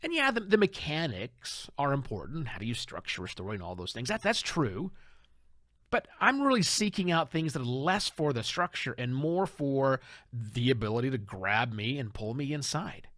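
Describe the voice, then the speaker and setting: A middle-aged man speaks as a podcast host in a very animated, fairly high voice that moves widely in pitch. He delivers conversational advice with emphatic storytelling stresses and dramatic pauses. The recording is dry and close, with little room sound. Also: dry recording.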